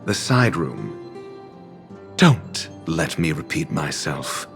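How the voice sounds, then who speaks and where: An actor playing a haughty, erudite scholar in a video game, speaking in ornate formal phrases with dry, condescending sarcasm. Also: male voice, a bass voice, big pitch swings, takes it slowly, vocal fry, heavily acted. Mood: impatient, instructive. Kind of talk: video game cutscene voice acting. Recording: background music under studio game dialogue.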